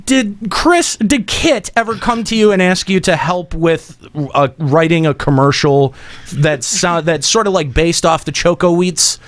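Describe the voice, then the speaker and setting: A man hosting a radio show with casual banter and rambling, halting phrasing. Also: fairly high pitch, animated, a bit bright. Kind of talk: casual banter between hosts.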